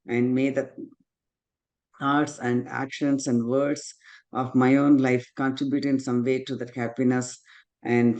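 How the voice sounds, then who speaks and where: A man with a mellow, even tone presents his company on a webinar over a video call. He speaks with little melody, in a steady, plain and slightly halting way. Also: medium pitch, highs cut off. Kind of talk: webinar talk.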